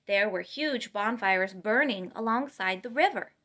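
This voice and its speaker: A woman reading a children's storybook aloud in an expressive, emphatic way. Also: medium pitch, a little slow. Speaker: storytime reader.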